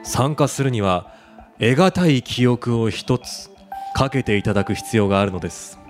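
An actor playing a polite, deliberate, persuasive corporate recruiter with sinister intent in a radio drama. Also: male voice, medium pitch, animated, faint music behind, close to an everyday voice. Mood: insinuating. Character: smooth, insinuating male corporate recruiter for a sinister game. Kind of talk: Japanese radio drama acting.

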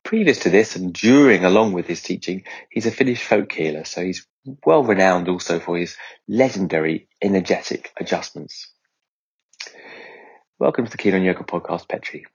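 A man's voice: deep voice, expressive, moderate pace, slightly rough, low-quality recording. A man presenting a podcast introduction as its host, in a scripted spoken style.

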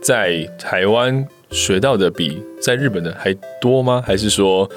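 Low-voiced Taiwanese man interviewing over music. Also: unhurried. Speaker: podcast co-host, interviewer. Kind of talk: casual interview questions.

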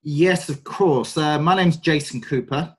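Man, 40s, London English accent, coach, halting, lots of ums, podcast guest. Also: a little high, breathy edge. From North London, England. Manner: hesitant, many fillers, thoughtful. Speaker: guest, sales and mind coach. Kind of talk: podcast interview.

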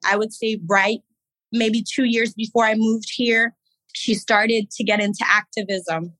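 A woman in her thirties from Haiti, a podcast guest telling her story in an unhurried, reflective way with fillers. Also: fairly high pitch, fairly even intonation, fairly bright tone, no echo, rough recording. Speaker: guest, business owner and Navy veteran. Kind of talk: casual interview talk.

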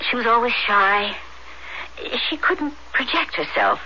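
Sharp-voiced, fretful middle-aged mother. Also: fairly high pitch, very animated, measured pace, heavily acted. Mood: worried, frank. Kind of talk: old-fashioned radio drama acting. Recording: dull, noisy old radio recording.

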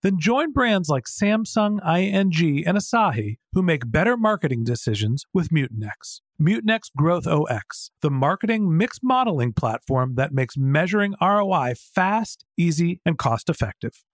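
An American man reads a scripted podcast sponsor spot. His voice sits a little high for a man, and the recording is dry and free of room echo. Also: very animated, normal speed, hardly any pauses. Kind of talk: scripted ad read.

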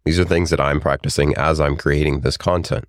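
Deep-voiced raspy man reading a reflective essay. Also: fairly even intonation, no echo, clean studio sound. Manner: earnest, reflective, measured. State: hoarse after an illness. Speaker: podcast host. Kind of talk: solo podcast monologue and essay reading.

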